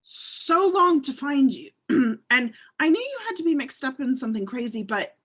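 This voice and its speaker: A woman's voice: high voice, normal speed, full character voice. A woman reading a furious young woman confronting the ex who left her, with a put-on Southern accent and plenty of swearing. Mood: angry. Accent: American Southern, put on. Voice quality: husky, swearing, lively delivery. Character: furious young woman, jilted ex-car thief. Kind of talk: amateur script read-through.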